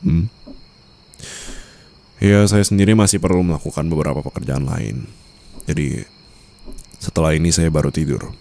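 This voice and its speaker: A man playing a cool, controlling young mafia boss and new husband in an ASMR roleplay, composed, formal and quietly commanding. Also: extremely low pitch, moderate pace, vocal fry, long pauses. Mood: polite, reassuring. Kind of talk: Indonesian ASMR roleplay acting.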